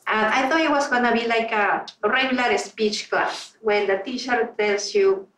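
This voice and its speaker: A woman's voice: medium pitch, fairly bright tone. A middle-aged woman from Peru with a Spanish accent, speaking earnestly to a club meeting and searching for words. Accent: Peruvian Spanish. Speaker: club member. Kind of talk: informal speech to a club meeting. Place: meeting room. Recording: roomy sound from a distant mic.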